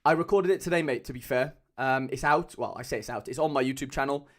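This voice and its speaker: A young man with a Cardiff accent chatting casually as a livestream host, loose and rambling with lots of fillers, speaking into a mic on a boom arm at home. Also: fast, a bit bright. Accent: Cardiff Welsh. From Wales. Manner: chatty, loose, many fillers, calls viewers lads.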